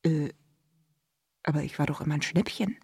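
Husky, bewildered stage heroine. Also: female voice, sits low, a little mellow, no echo, clean studio sound, exaggerated voice. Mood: flustered objection. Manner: halting, repetitive, protesting. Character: bewildered female performer, insisting she is real. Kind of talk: theatre monologue and dialogue in German.

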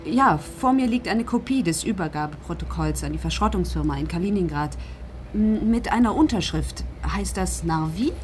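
Actress as cool, lowish-voiced female investigator. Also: expressive, normal speed, darkish tone, a little hiss or hum, close to an everyday voice. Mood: probing, ironic. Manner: polite, probing, ironic. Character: cool, persistent female investigator with dry irony. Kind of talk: radio drama acting.

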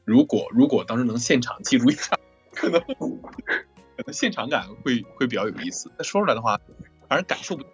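Male host, husky voice, very wide swings in pitch, casual interviewing, rephrases questions, dull voice-chat audio. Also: big pitch swings, fairly quick. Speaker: host of a cast chat about an audio drama. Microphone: online voice call. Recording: muffled lo-fi online voice chat. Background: music.

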